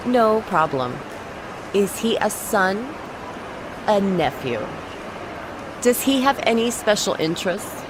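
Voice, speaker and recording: An actress playing a polite, helpful toy store clerk in a motion comic. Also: female voice, medium pitch, sing-song, takes it slowly, long pauses. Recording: noisy ambient background.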